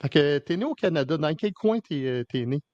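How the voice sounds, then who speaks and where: Animateur québécois hésitant, voix sèche et terne. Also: male voice, fairly high pitch, lively intonation, brisk, a little mellow, no echo, highs cut off. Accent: Québécois French. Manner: hesitant, many fillers and restarts. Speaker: podcast host. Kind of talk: casual interview.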